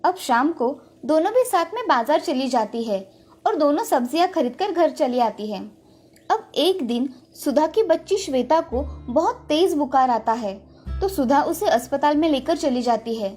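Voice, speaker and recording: A woman's voice: sits high, lively intonation, normal speed, darkish tone, faint music behind. A woman reading scripted narration of a moral story for children as a storyteller.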